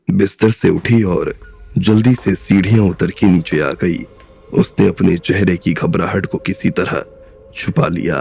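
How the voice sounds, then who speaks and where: Very deep, gravelly male narrator. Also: no room sound. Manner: steady storytelling. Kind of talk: scripted audiobook narration.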